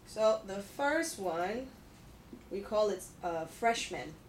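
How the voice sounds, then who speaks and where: A woman's voice: medium pitch, expressive, takes it slowly. A woman in her thirties teaching English to a class in a classroom, speaking patiently and checking in with her students often. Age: 30s. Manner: patient, checks in with "okay?" and "right?". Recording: echoey room, distant mic, background noise.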